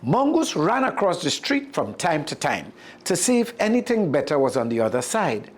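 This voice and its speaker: Expressive Caribbean storyteller with lively pitch. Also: normal speed. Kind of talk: expressive read-aloud storytelling.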